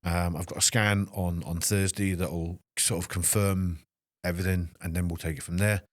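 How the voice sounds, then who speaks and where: A Scottish man in his fifties, a fitness trainer hosting a solo podcast, speaking in an emotional, halting way with many restarts. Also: deep voice, fairly even intonation, no room sound, studio quality. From Scotland. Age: 50s. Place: small podcast studio.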